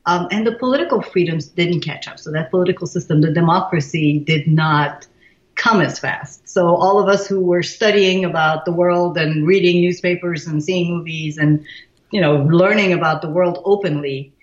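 A woman's voice: on the low side, moderate pace, highs cut off. Iranian-born woman in her sixties, roomy recording. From Iran. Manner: thoughtful, frequent fillers and restarts. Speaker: guest, leadership expert. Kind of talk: casual interview.

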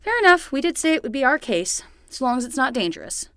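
A woman's voice: sits high, sing-song, quick pace, fairly bright tone, clear, resonant tone, no echo, heavily acted. A woman playing a sensible, skeptical young summer nanny in a naturalistic, dryly exasperated way for a fiction podcast. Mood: practical. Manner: dry, exasperated, practical.

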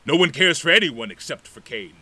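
An actor playing a boastful, hard-drinking ruler in an audio drama, speaking in a grandiose, theatrical manner. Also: male voice, fairly high pitch, lively intonation, fairly quick, bright, forceful tone, husky, heavily acted. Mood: grandiose, brooding. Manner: grandiose, theatrical, swinging from musing to bluster.

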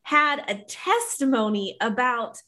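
Casting: middle-aged woman, slightly high voice, earnest and emphatic, for casual faith conversation as a podcast guest. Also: expressive, unhurried, pushed and bright. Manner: earnest, emphatic, informal phrasing. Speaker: podcast guest, Bible study author.

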